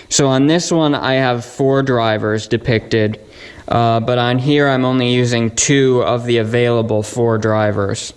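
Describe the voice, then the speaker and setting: A man's voice: medium pitch, clean tone. A young man presenting a hands-on electronics build in a casual, matter-of-fact, informal way.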